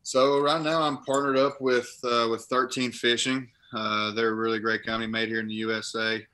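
Young man on a video call, bright flat voice. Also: mid-range voice, normal speed. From Kentucky. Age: young adult. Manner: rambling, restarts phrases often. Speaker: guest, college linebacker and bass angler. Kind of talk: casual interview over video call. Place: living room at home. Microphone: laptop webcam on video call.

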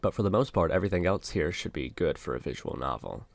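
Man, low voice, dry close recording, casual how-to narration, methodical instructor. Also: animated, fast talker, vocal fry, no room sound. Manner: explanatory, step-by-step. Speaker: software tutorial instructor.

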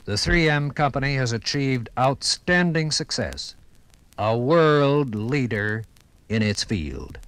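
A man reading scripted 1950s radio documentary narration in a measured, formal storytelling manner. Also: mid-range voice, fairly bright tone, no room sound.

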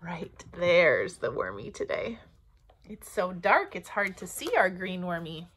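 Female children's storyteller, forties, pastor type. Unhurried delivery, lively swooping intonation, simple phrasing. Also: fairly low pitch. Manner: animated, simple phrasing with deliberate pauses for children. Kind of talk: storytelling and talking to young children. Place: small room at home or office, indoors.

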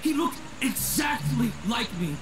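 A man's voice: very high voice, animated, a little slow. A man voicing a panicked, pleading prison inmate in a video game, frantic and insistent. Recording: game music under the voice.

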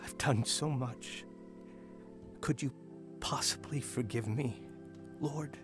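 A man's voice: medium pitch, slow pace, close to an everyday voice. A man playing an earnest, repentant middle-aged father narrating his life story in an American radio drama. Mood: humbled, pleading. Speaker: lead actor narrating his testimony. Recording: music bed under the voice.

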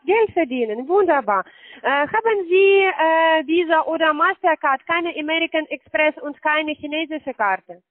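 A woman's voice: sits high, normal speed. A woman working as a call-center scammer on a phone call, chirpy and speaking German with non-native grammar. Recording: tinny phone line.

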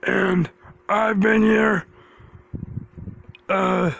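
A cartoon frog creature croaks along extremely slowly. The voice is raspy and thick with gravel, halting and drawn out from phrase to phrase. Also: male voice, high-pitched, level tone, fairly bright tone, heavily acted. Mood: muddled.

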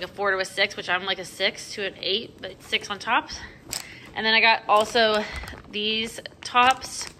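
A young American woman with a bright, forward voice at an average pitch. She chats casually, vlogger style, wandering through small asides as she shows items. It is recorded on a phone in a home kitchen with clear background noise. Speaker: vlogger and mother showing a grocery haul. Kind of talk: casual chatty haul narration. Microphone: handheld phone behind the camera.

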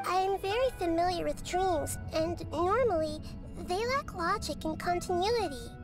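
A woman voicing a small, childlike goddess in a video game, calm, curious and thoughtful, explaining things in a measured way. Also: very high voice, music in the background.

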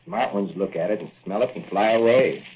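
An American actor playing a laid-back, plain-spoken plumber's workmate with lazy, slangy speech in a vintage radio drama. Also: male voice, fairly low pitch, fairly quick, close to an everyday voice. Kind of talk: 1940s radio drama dialogue. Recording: muffled, noisy vintage radio recording.

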